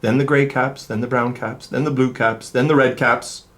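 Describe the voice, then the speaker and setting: A man reading a children's storybook aloud in an expressive, theatrical and deliberate way. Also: medium pitch, slight background noise. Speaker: school leader reading to students.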